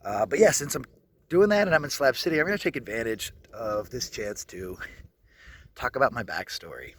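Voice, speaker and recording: A man in his thirties talks as he walks around outside, pitched in an ordinary middle range. His storytelling is candid and loose, full of asides and self-corrections. A faint hiss of noise sits under the voice. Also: expressive, fairly quick, a bit bright. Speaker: travel vlogger. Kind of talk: casual walking vlog. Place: outdoors at a skate park. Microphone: handheld camera while walking.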